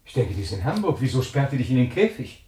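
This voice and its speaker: An actor playing an uneasy, irritable scientist in a German radio thriller, speaking in a hesitant, agitated way. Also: male voice, medium pitch, very animated, fast talker, warm tone, husky. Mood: puzzled, anxious. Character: uneasy, irritable male scientist living alone with budgie.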